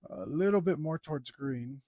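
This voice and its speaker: A man talking casually to himself as he works on a digital drawing, mumbling in a relaxed way. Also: on the high side, moderate pace, no echo, clean studio sound. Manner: mumbling to himself, laid-back. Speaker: digital artist. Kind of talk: casual talk while drawing.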